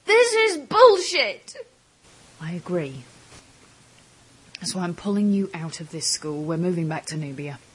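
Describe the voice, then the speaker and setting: A woman playing a protective, worried mother in an audio drama, with a husky voice. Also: on the low side, very animated, a little slow, long pauses, heavily acted. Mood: angry, decisive. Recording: music under the voice.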